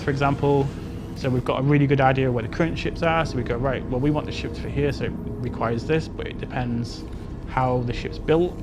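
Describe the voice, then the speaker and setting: Man, mid-pitched voice, game developer in interview, explanatory, hedging, unscripted, clearly noisy recording with engine hum. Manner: explanatory, hedging, repeats "you know".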